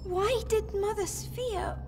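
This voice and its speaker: A woman's voice: very high voice, takes it slowly, soft background music. An actress playing an earnest, emotional young female android warrior in a video game. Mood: troubled. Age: young adult. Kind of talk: video game cutscene acting.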